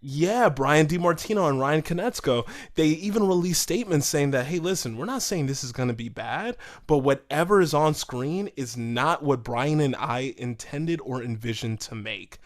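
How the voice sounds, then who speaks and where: A man co-hosting a podcast, discussing things casually in an animated way and acting out imagined quotes. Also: fairly high pitch, moderate pace, hardly any pauses. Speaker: podcast co-host.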